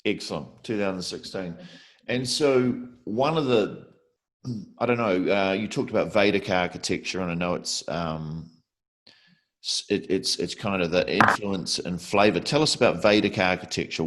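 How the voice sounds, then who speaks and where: Deep-voiced chatty male interview host. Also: moderate pace. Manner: chatty, rambling, many fillers and restarts. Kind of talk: video call interview.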